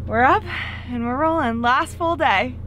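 A woman's voice: high-pitched, a little slow, a bit bright, slight background noise. A woman in her twenties with an American accent, chatting casually as a travel vlogger. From Maine, United States. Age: 20s. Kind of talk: casual vlog chat.

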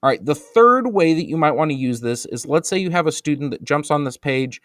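A man with an American accent narrating a screen-recorded software tutorial for teachers, relaxed and explanatory, with frequent ums and uhs. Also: on the high side, a little fast, no echo, studio quality. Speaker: instructional technology trainer.

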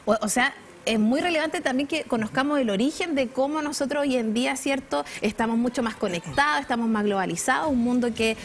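A woman in her thirties with a Chilean accent, hosting a live studio talk show in an animated way. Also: sits high, fairly quick, slight background noise. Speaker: TV host.

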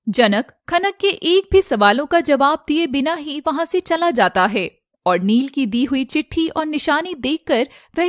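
A woman reading scripted narration as the storyteller of an animated fairy tale. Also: high-pitched, dry recording, studio quality.

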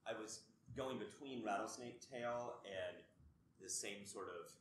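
A man's voice: fairly low pitch, unhurried. A man chatting casually as a participant in a group discussion. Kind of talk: casual chat. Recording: echoey, noisy room.

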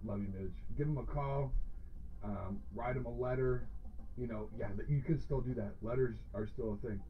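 A man's voice: mid-range voice, normal speed, faint music behind. Effusive man on a dull lo-fi mic. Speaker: host of a hot sauce review show. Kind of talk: casual livestream chat to viewers.

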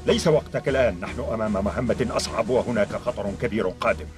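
An actor playing a grave, authoritative thunder god who mentors fighters in a dubbed cartoon. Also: male voice, fairly low pitch, quick pace, natural voice. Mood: grave, assured. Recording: loud music behind the voice.

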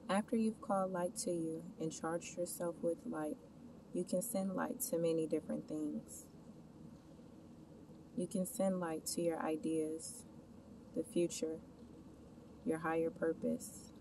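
Low-pitched woman guiding a meditation, distant noisy recording. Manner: instructional, addressing the listener with gentle imperatives and questions. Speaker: meditation guide. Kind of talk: guided meditation, scripted narration. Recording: distant mic, clear background noise, ambient rumble.

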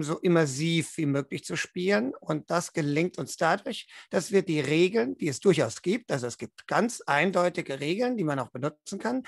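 Young guy game master explaining rules, soft calm voice, measured, close dry mic. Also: on the high side, vocal fry, no room sound. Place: room at home, on a video call.

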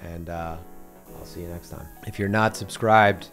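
A man with a somewhat low voice walks through a trading screen in a relaxed tutorial. He is recovering from an illness and his voice is starting to go. He talks casually and tags his points with "right?" and "obviously". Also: normal speed, gravelly, faint music behind. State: losing his voice after an illness. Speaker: crypto trading channel host. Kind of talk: screen-share tutorial walkthrough.

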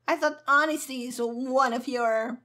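A woman's voice: sits high, fairly even intonation, unhurried, pushed and bright. A woman streamer giving casual, playful gameplay commentary in a cheeky, hyper, giggly manner. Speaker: gaming streamer, virtual avatar host.

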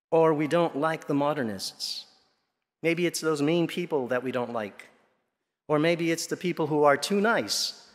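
Middle-aged male preacher in a reverberant church. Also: a little high. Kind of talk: Sunday homily. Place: large church, at the pulpit. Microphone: pulpit gooseneck and lapel microphone.

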